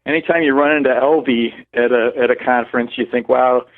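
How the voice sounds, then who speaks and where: Guest expert on a dry, tinny phone line; man, measured and hedging, explains studies. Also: mid-range voice, fairly even intonation, no room sound. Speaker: cannabis researcher guest. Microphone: telephone.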